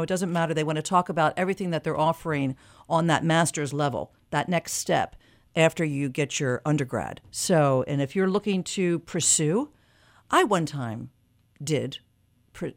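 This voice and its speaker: Chatty lower-voiced woman radio host. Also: normal speed. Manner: chatty, enthusiastic, interjecting. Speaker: radio talk show host. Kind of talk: radio talk show interview. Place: radio studio.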